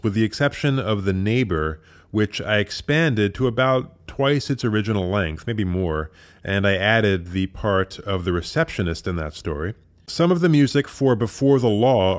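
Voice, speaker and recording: A man hosting an audio drama program, giving semi-scripted commentary with thoughtful, informal asides. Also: fairly low pitch.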